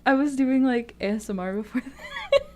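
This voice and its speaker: A woman's voice: sits high, wildly swooping pitch, normal speed, darkish tone. A woman streamer in casual, giggly, playfully profane chat as she hosts a tarot reading.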